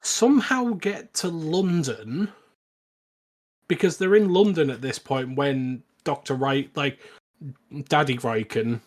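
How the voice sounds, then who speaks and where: A man's voice: on the high side, takes it slowly. A man co-hosting a podcast, giving a casual plot recap in a hesitant, stop-and-start way. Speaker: podcast co-host.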